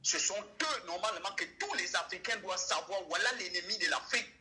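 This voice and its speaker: A man's voice: high-pitched, fairly quick, pushed and bright, soft background music. A young man calling in to a debate show, arguing emphatically and firing off rhetorical questions. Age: young adult. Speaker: caller. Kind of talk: call-in debate.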